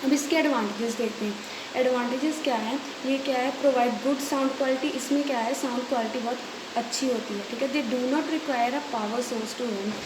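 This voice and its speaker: High-pitched Indian woman lecturing, echoey recording. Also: a little fast. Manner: checks in often with short confirming tags. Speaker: polytechnic electronics lecturer. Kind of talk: lecture, reading out notes and explaining them. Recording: echoey room with hiss and noise.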